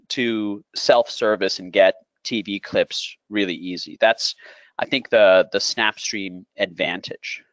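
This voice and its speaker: Guy doing a product webinar, lowish voice, kinda halting explainer, muffled lo-fi sound. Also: a bit bright. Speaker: company presenter giving a product webinar. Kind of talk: unscripted sales presentation. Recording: dull, lo-fi audio in an echoey room.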